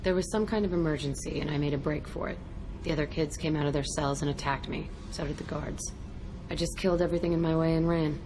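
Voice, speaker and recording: Woman acting tough young female ex-convict, low voice, embittered, blunt, clipped, foul-mouthed, game dialogue, noisy ambience. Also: fairly even intonation, fast talker, close to an everyday voice. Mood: bitter, guarded. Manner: blunt, terse, profane.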